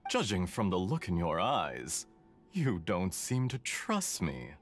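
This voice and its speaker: An actor playing a suave, scheming male villain in a game cutscene, smooth and condescending, with music underneath. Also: low voice, huge pitch swings, slow, heavily acted. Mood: sly. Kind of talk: video game voice acting.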